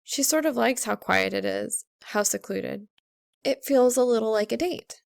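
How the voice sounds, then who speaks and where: A woman narrates a story in an expressive reading style. Her voice sits at a medium pitch with plenty of creak, and the sound is dry, with very little room. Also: dry recording. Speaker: fan fiction audiobook reader.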